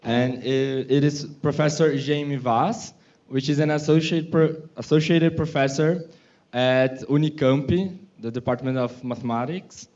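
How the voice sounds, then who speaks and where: A man's voice: medium pitch, steady tone, measured pace, darkish tone, dull audio. Hesitant man introducing a speaker. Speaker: session chair. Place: lecture hall.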